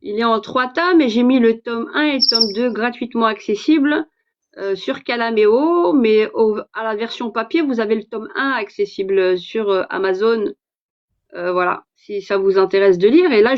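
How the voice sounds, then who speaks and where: Outspoken French woman, high voice, dull audio. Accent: Parisian French. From France. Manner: hesitant, many fillers, outspoken. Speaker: livestream host and author. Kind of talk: casual livestream talk.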